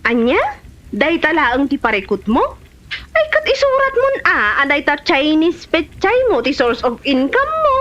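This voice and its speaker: A woman's voice: sits high, sing-song, a little slow, a bit bright, dull audio, heavily acted. A woman playing a blunt, nosy village friend in a comedy drama, with an Ilocano accent. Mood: blunt, animated. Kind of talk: Filipino comedy drama dialogue.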